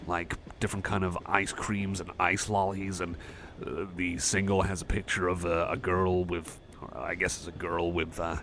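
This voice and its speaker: A shy cyborg chum, played by a man, speaks in a very low voice full of gravelly fry. He rambles softly and hesitantly in a friendly, self-effacing ASMR roleplay style. Clear background noise sits behind the voice. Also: flat delivery, normal speed. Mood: bashful, rambling. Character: shy, friendly young male cyborg music fan. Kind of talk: soft casual ASMR roleplay chat.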